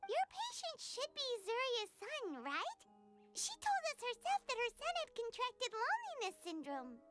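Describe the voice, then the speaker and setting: A woman's voice: extremely high pitch, huge pitch swings, moderate pace, loud music behind the voice, full character voice. A woman voicing a small, anxious, childlike game creature who stammers and hesitates. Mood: timid. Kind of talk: video game voice acting.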